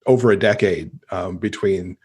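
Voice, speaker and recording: A man's voice: deep voice, normal speed, darkish tone, breathy edge. A man from the United States, a podcast guest telling stories casually and enthusiastically. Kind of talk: casual conversational storytelling.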